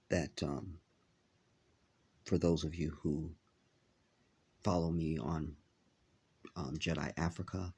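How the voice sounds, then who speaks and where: A man talking to his viewers in a halting vlog, with a nasal, congested voice as he recovers from an illness. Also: extremely low pitch, mellow, slightly rough. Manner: halting, many filler pauses. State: stuffy, congested after illness. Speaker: vlogger. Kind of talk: casual vlog talk to viewers.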